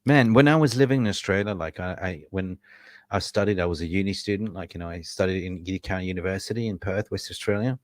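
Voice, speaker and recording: A man in his fifties from Sweden, co-hosting a casual chat, playful and quick to laugh. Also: low voice, no room sound. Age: 50s. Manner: playful, laughs often, slips into jokey impressions. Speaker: co-host of a football fan show.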